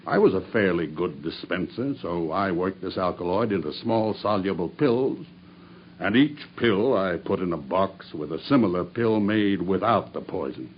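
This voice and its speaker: A grim, deep-voiced avenger in a radio play, telling his tale with slow, intense menace. The recording is dull and old, with a faint hiss beneath it. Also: lively intonation. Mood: brooding. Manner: grim, intense storytelling narration. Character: vengeful man, a cab driver hunting his enemy. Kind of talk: 1970s-style radio drama acting.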